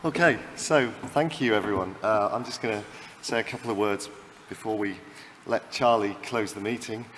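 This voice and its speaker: A man closes a conference with unhurried thanks. His voice sits low and carries a long hall reverb with faint noise behind it. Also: fairly bright tone. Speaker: conference organizer giving closing remarks. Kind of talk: closing remarks. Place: lecture hall.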